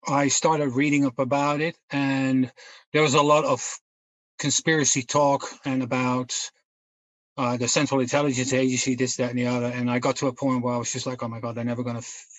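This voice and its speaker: A man speaks in a flat, even tone, with little rise and fall in his pitch. He rambles through his story with plenty of fillers and restarts. The recording is close and dry, with no room sound. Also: dry recording, rough recording. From Netherlands. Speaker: guest researcher. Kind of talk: casual storytelling in a podcast interview.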